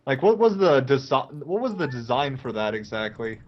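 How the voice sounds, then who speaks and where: A man's voice: medium pitch, sing-song, moderate pace. A man chatting casually and jokingly as a game co-commentator, often posing questions. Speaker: let's play co-commentator. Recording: slightly dull audio, game music behind. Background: video game music.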